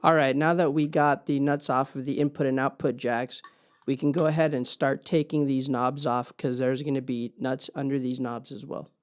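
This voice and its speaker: A man's voice: mid-range voice, little pitch movement, moderate pace, clear, resonant tone. A man hosting a casual hands-on explainer video, speaking in an informal, plain-spoken way. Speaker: video host.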